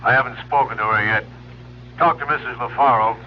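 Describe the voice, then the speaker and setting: An actor with an American accent playing a weary, commanding police chief in a 1970s radio drama. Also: male voice, mid-range voice, steady tone, a little fast, lightly acted. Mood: grim, weary. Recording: dull 1970s radio broadcast, faint noise.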